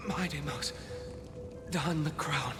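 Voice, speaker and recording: A man's voice: on the high side, slow. A man playing a fallen soldier in a game, nobly pleading with his king in a reverberant space. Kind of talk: dramatic game voice acting. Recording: reverberant hall with loud music.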